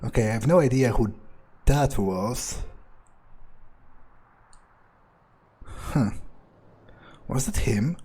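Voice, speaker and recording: A man playing a wary, ruthless vampire on the hunt, thinking aloud in halting, hesitant phrases over a video game. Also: mid-range voice, lively intonation, mellow, husky. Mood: wary, searching. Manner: musing, hesitant, halting self-corrections. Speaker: let's player role-playing a game character. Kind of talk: in-character improvised gameplay narration, thinking aloud.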